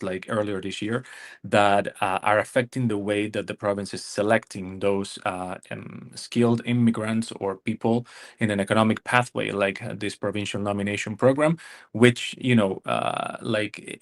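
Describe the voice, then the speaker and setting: Man, lowish voice, hesitant with fillers, webinar presenter, consultant. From Argentina. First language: Spanish. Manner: hesitant, many fillers and restarts. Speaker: immigration consultant.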